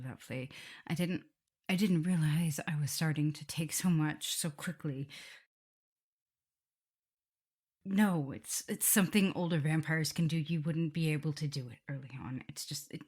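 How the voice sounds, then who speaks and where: A woman playing a tender, guilt-ridden vampire mistress in an ASMR roleplay, speaking softly and haltingly, often in whispers. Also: low voice. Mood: panicked, apologetic. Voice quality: soft, breathy, often whispering. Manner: halting, hesitant delivery. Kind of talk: ASMR roleplay acting, intimate address to listener.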